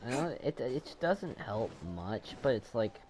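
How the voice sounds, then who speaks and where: A man casually commenting on a game as he plays, thinking aloud in a halting, rambling way. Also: mid-range voice, very animated, a little mellow, soft background music. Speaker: gamer playing and commenting.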